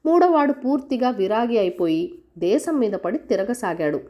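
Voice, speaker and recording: A woman's voice: fairly high pitch, animated, normal speed, highs cut off. A woman reading a scripted folk tale as a storyteller for children.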